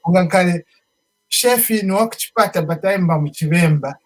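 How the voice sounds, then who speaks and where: Man, Zambian accent, high voice, interview guest, casual chat, emphatic repetition, drops in local phrases. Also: normal speed. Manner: emphatic, restating his points, switching into local phrases. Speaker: show guest, musician.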